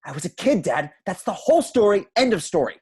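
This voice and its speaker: A man's voice: sits high, sing-song, brisk, a little mellow, husky, heavily acted. A man playing an awkward, resentful grown son in an amateur script read. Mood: bitter.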